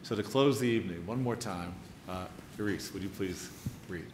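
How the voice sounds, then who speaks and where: Man interviewing on stage, lowish voice, formal and appreciative, echoey room with crowd noise. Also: moderate pace. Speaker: interviewer, event host. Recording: echoey hall, noisy audience.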